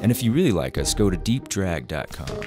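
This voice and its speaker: A man's voice: low voice, very animated, fairly quick, over music. A man, the writer of the show, reading credits and a scripted outro for an audio drama podcast.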